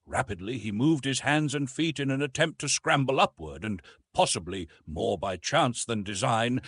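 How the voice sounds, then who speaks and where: A man reads a classic adventure story as an audiobook narrator. His mid-pitched voice swings widely and expressively through the measured, dramatic prose. The tone is husky, and the recording is close and dry. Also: dry recording. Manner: expressive, measured literary reading.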